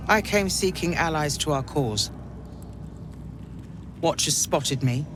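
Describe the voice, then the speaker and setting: A defeated woman counsellor held captive, low and unhurried. She speaks with grave, formal weight, in dramatic video game style. Clear ambient noise runs behind her.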